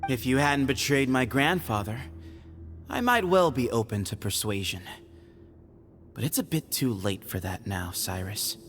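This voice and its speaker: An actor playing a shrewd, confident young heir in a video game, coolly polite and matter-of-fact. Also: male voice, mid-range voice, expressive, unhurried, fairly bright tone. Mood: cool, assured. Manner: smooth-talking, matter-of-fact, self-assured. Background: background music.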